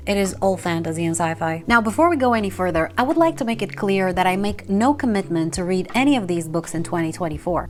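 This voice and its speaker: A woman with a Bulgarian accent talks about books straight to camera, frank and a little wry. Her voice sits at an ordinary middle pitch for a woman, and her manner stays earnest and direct. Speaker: book reviewer. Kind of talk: casual video commentary.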